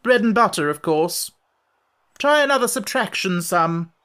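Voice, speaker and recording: A man's voice: high-pitched, expressive, measured pace, pushed and bright, pitched above the performer's own voice, heavily acted. Man putting on a bossy, imperious storybook queen. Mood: triumphant, snappy. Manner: bossy, emphatic, contradicting. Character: imperious, impatient, scolding Red Queen, grown woman.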